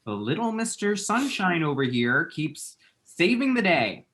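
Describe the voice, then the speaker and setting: A man's voice: on the high side, big pitch swings, measured pace, a bit bright. A man playing a flustered, lovestruck and bitchy young man, sassy and theatrical, in a screenplay table read. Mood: exasperated, bitchy.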